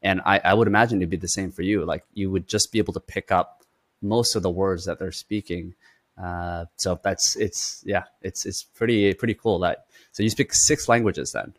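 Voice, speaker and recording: A man's voice: low voice, fairly even intonation, moderate pace. A young man hosting a podcast interview, asking long rambling questions. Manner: rambling questions with fillers and restarts. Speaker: podcast host.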